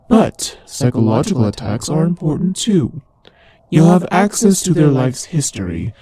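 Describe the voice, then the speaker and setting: A man's voice: mid-range voice, warm tone, husky. A man playing a teasing, sardonic demon ruler of hell in a scripted roleplay audio drama, with a conversational, theatrical delivery.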